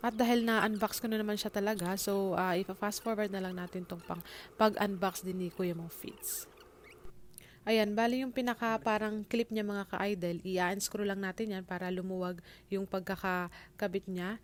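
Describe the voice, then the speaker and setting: A woman's voice: mid-range voice, steady tone, normal speed, slight background noise. A Filipino woman vlogger chatting casually to viewers in an unboxing and review style, mixing in English informally. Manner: informal Tagalog-English code-switching.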